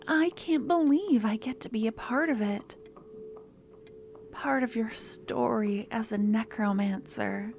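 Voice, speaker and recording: Woman playing a slow, soft-spoken, secretly obsessive girl. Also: a little high. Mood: excited, smitten. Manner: soft-spoken, often dropping to breathy whispers. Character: eager, clingy young female necromancy student, secretly obsessive stalker. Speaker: lab guide teaching embalming. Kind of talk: ASMR audio roleplay.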